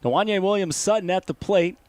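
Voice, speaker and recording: A man's voice: high voice, fairly quick, darkish tone, no room sound. A man with an American accent calling baseball play-by-play as a live broadcast commentator.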